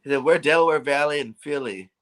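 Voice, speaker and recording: A man's voice: on the high side, fast, fairly bright tone. Easygoing, teasing Philly man. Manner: easygoing, teasing, chuckles a lot. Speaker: co-host of a fan call-in show. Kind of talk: casual chat.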